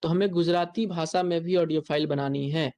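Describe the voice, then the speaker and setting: An instructor with an Indian accent giving a software tutorial lecture, explaining step by step and repeating points. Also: medium pitch, fairly even intonation, normal speed.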